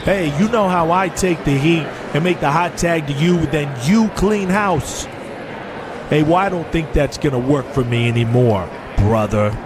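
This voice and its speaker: An actor playing a resentful, hot-headed pro wrestler in an audio drama, blunt and confrontational. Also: male voice, fairly high pitch, a little slow, music in the background, close to an everyday voice. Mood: resentful, defiant.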